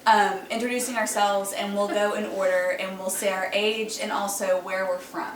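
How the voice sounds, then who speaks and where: A woman's voice: medium pitch, little pitch movement, normal speed. A woman, hosting a casual Q&A with her siblings and laughing often. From Texas. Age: 30s. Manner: laughs often, chatty and giggly. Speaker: host. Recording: echoey room, mic at a distance, faint noise.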